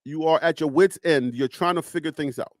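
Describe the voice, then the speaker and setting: High-pitched Liberian man. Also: a little fast, no room sound, studio quality. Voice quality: animated, rising pitch when arguing. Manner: emphatic, rhetorical questions, teasing. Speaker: live show host. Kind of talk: casual live-stream commentary.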